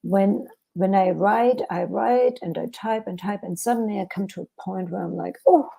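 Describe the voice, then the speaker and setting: A woman's voice: medium pitch, moderate pace, vocal fry, warm tone, husky, low-quality recording. A woman from Germany with a German accent, an author talking through her writing process in a podcast interview with many fillers and restarts. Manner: thoughtful, hesitant with fillers and restarts. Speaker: author guest.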